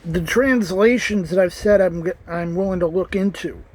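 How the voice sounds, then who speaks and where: A man's voice: high voice, normal speed, a little mellow. A man talking casually to camera in an earnest, rambling way, with restarts and asides. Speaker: vlogger.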